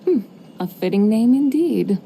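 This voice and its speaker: A woman's voice: fairly high pitch, lively intonation, unhurried, creaky, dark tone, slight background noise. A woman voicing an ancient, playful female horse spirit who likes to show off, speaking in archaic, poetic phrasing with a wry, amused tone.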